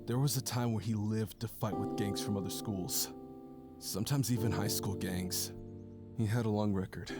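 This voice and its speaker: A man playing a hot-headed but loyal high school boy, blunt and excitable, in an audio drama. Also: close to an everyday voice.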